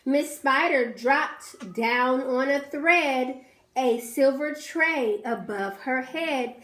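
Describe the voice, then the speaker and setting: A woman teacher reading a rhyming storybook aloud to young children, pausing to ask the class questions. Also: sits high, a bit bright, roomy sound.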